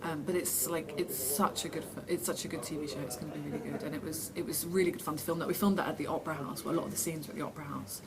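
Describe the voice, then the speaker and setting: A woman's voice: deep voice, racing, hardly any pauses, crowd noise, poor audio. A woman actress giving casual, chatty interview answers in a self-deprecating way, reading the questions out herself. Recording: steady background noise.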